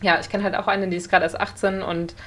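A woman chatting casually as a podcast co-host, retelling things in an animated way with little acted quotes. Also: mid-range voice, fast talker.

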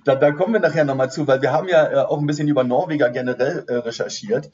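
A man's voice: mid-range voice, very fast, darkish tone, dull audio. A man hosting a casual podcast interview. He chats, teases his guest and laughs easily. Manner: chatty, teasing, laughs easily. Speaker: podcast host.

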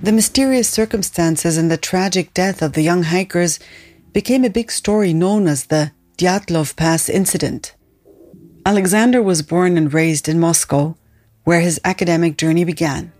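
A woman hosting a podcast, telling a story in calm, scripted narration. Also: on the low side, moderate pace. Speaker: podcast host.

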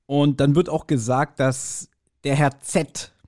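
A man co-hosting a podcast, giving casual, joking and mildly mocking commentary full of halting asides. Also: medium pitch, fairly even intonation, darkish tone. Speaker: podcast co-host. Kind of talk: casual chat, humorous commentary on an audio drama.

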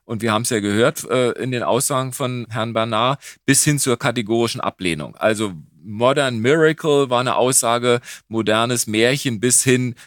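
Man, rheumatology expert, medium pitch, close dry sound, conversational, emphatic key phrases. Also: no room sound. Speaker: rheumatologist, professor, expert speaker. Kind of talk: explanatory medical expert talk.

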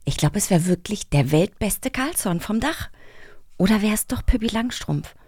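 A woman co-hosting a radio-drama podcast in a studio, chatting eagerly and quickly. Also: medium pitch, animated, a little mellow, husky, dry recording. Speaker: podcast co-host.

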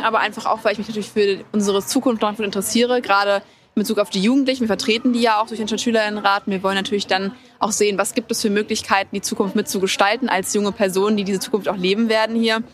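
This eighteen-year-old woman talks quickly and fluently, like someone used to speaking for a group. She answers interview questions as a student representative, in full and composed sentences. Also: medium pitch, fairly even intonation. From Germany. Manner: articulate, quick, speechlike. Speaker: deputy city student representative. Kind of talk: event interview.

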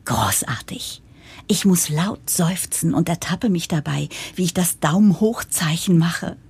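An actress playing an earnest, hopeful young project leader, narrating a confiding, breathy inner monologue in an audio drama. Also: female voice, on the low side, animated, a little slow, darkish tone, full character voice. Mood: tense, hopeful.

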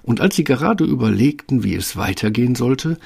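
A man's voice: mid-range voice, expressive, fairly quick, creaky, darkish tone, slightly rough. A man narrating a scripted fairy tale for an audio play.

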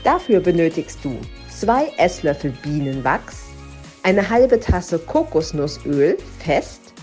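A woman reads out a recipe from a script in a calm, instructional tone. Her voice is on the low side, and she speaks very slowly and deliberately. Faint music plays underneath. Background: soft background music.

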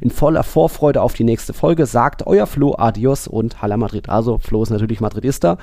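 A man's voice: mid-range voice, brisk, gravelly, dark tone, no room sound, studio quality. A man hosting a football podcast, talking casually and informally in a chatty way. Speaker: podcast host.

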